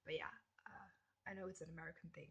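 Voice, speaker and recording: A young woman from Germany with a German accent, chatting casually through a list of questions and often dropping into soft whispered asides. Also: deep voice, steady tone, fast talker, vocal fry, slightly rough. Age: young adult. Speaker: vlogger. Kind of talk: casual question-and-answer tag video.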